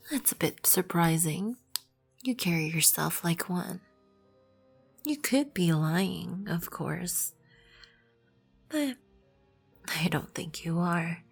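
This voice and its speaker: Woman playing a slow, husky, regal vampire queen. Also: lowish voice, full character voice. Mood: measured, appraising. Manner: imperious, measured, formal. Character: regal, composed, proud vampire queen, protective of her colony. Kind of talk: ASMR roleplay, scripted second-person acting. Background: background music.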